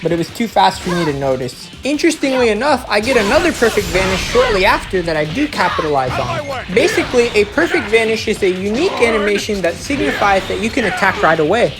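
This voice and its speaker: A woman's voice: mid-range voice, very animated, quick pace, hardly any pauses. A woman giving an instructional, step-by-step voice-over as the narrator of a gameplay guide. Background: music.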